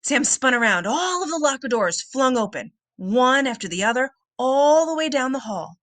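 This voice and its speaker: Woman, children's read-aloud narration, dramatic, suspenseful, lively pitch swings, close dry sound. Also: normal speed, dry recording. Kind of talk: expressive children's book narration.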